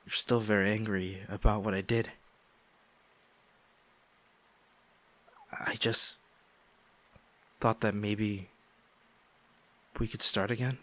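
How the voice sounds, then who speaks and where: A man acting a guilt-ridden ex-boyfriend pleading for forgiveness in an intimate audio roleplay, halting and emotional. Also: fairly low pitch, little pitch movement, a bit bright. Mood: tentative, subdued. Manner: halting, emotional, sighing, repeats himself.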